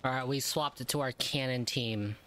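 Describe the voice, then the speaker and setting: A male gaming streamer with a medium-pitched voice. He reacts casually to the game and reads out bits of dialogue as he plays. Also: moderate pace, sharp tone, a little hiss or hum. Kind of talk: casual gameplay reaction and reading dialogue aloud.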